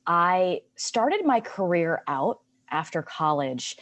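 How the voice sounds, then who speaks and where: Woman, thirties, low pitch, founder on panel, earnest, video call. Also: very animated, slow. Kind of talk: webinar panel talk.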